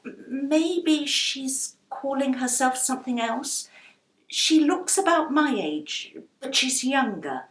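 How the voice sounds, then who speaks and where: A woman's voice: sits high, animated, slow pace, fairly bright tone, roomy sound, recorded from a distance, voice pushed up, heavily acted. A woman voicing an anxious, determined young girl with earnest questions in a children's storybook. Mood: urgent.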